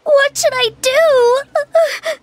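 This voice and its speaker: A woman's voice: very high voice, steady tone, extremely slow, a little hiss or hum, exaggerated voice. An actress voicing a timid, lost young girl in a video game, speaking in a hesitant, plaintive way. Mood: scared, tearful. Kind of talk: English video game voice acting.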